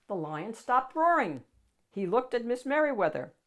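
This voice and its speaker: A woman reading a picture book aloud to children in an animated, expressive storytelling style with dramatic emphasis. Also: a little high, moderate pace.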